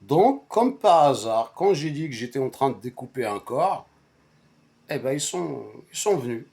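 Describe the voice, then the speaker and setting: A man whose first language is Arabic, telling a personal story casually in a halting, repetitive and emphatic way. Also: medium pitch, animated, vocal fry, darkish tone. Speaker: storyteller recounting a personal anecdote to friends.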